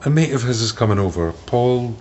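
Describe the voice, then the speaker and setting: An actor in a radio drama playing a calm, concerned Scottish man with a plain, low-key way of talking. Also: brisk, slight background noise, lightly acted. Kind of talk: naturalistic radio drama acting. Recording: muffled, faint background ambience.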